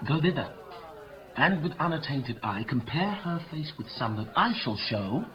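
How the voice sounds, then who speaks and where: An actor playing a brisk young noble friend, bantering and persuading in verse in a 1950s radio Shakespeare play. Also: male voice, on the high side, big pitch swings, some chatter behind. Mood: teasing. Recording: muffled old radio recording.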